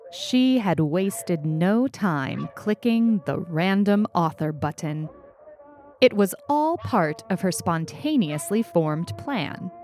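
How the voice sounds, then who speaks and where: A woman reading scripted fiction as a story narrator, with expressive storytelling. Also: fairly low pitch. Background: faint music.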